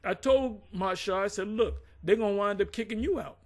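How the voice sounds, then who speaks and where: High-voiced man talking bluntly. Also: moderate pace. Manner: blunt, profane, rambling with many restarts. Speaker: former group member recounting events. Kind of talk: casual conversation.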